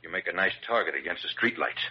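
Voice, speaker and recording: Hunted American gunman: low, raspy, menacing, clipped tough-guy slang, muffled old radio play. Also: lively intonation, quick pace. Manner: curt, tough slangy commands. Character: menacing, terse male gangster gunman on the run. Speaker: radio drama actor. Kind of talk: 1940s-style radio crime acting. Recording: dull vintage radio recording.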